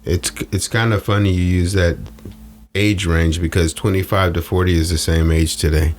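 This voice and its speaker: A man's voice: low-pitched, steady tone, normal speed, darkish tone. A man talking casually as a strategist guest in an interview, explaining in colloquial phrases and coaching with rhetorical questions. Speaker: social media strategist guest. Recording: noticeable background noise.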